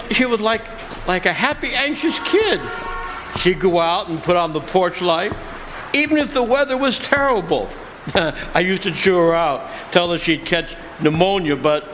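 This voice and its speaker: Guy playing a heartbroken driver in a radio play, slow and stammering, voice swings around a lot. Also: high-pitched, fairly bright tone, a little hiss or hum. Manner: halting, stammering, repeating words. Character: grief-stricken, embittered man, a night driver, softening. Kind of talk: stage radio drama acting. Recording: live stage performance, long hall reverb.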